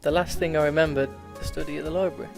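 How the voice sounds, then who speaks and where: Stumbling gamer reading aloud. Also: male voice, fairly high pitch, steady tone, rapid-fire, gravelly, music in the background. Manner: stumbling, self-correcting reading with frequent laughs and swearing. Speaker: let's play host. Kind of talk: casual gameplay commentary, reading visual novel aloud.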